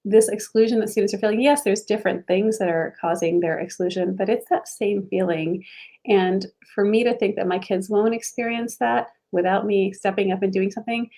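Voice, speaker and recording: A woman's voice: mid-range voice, warm tone. A woman from Ohio, a school board candidate, answering interview questions in an earnest, reflective way with many fillers and restarts, over a video call. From Ohio, USA.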